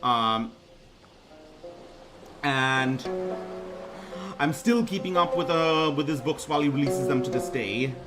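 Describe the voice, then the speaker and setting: Halting young baritone man over music. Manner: hesitant, many ums and restarts. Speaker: VTuber streamer. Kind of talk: debut stream self-introduction. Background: music playing.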